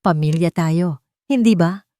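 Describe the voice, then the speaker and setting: A woman narrator plays a rich, older Filipino-accented matriarch in an audio drama. She is low-voiced and soft, sweet on the surface with a controlling chill beneath. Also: unhurried, dry recording, studio quality, lightly acted. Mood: sweet, veiled threat. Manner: gentle surface with veiled coldness. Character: wealthy older mother, softly sweet but coldly controlling.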